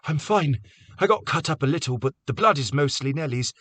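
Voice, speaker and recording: A man's voice: fairly high pitch, expressive, fairly quick, fairly bright tone, husky, dry recording, voice pushed up. A man voicing a wounded, shaken village man who stubbornly insists he is fine. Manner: stubborn, strained.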